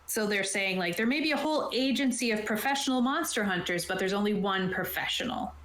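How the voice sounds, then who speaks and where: A woman's voice: medium pitch, lively intonation, fairly quick, a bit bright. Woman explaining game rules in an echoey room. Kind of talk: casual explanatory talk, reading from a rulebook. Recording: roomy, echoing sound.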